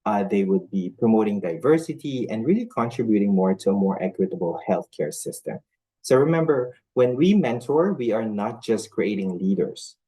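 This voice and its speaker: A man's voice: lowish voice, big pitch swings, moderate pace, warm tone, poor audio. A man born in the Philippines, whose first language was not English, giving a conference talk on nursing mentorship in an earnest way, with many fillers and restarts. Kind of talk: conference talk with live audience polls.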